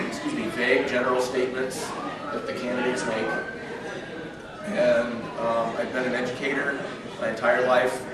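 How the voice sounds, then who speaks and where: A man in his fifties from Northern California, hoarse with a cold, giving an earnest campaign speech in a restaurant. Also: medium pitch. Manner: earnest, steady, heavy with figures. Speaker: political candidate. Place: restaurant function room. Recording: echoey room from far off, crowd murmur. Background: crowd chatter.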